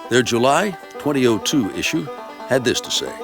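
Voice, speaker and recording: A man reading a scripted promotional voice-over in a smooth delivery. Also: mid-range voice, big pitch swings, moderate pace. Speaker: narrator. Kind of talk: scripted narration. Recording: music bed under voice-over.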